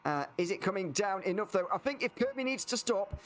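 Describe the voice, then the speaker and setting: A man's voice: high-pitched, animated, fairly quick, a bit bright. A man co-commentating a sim race live, hesitant with many fillers before he picks up the call.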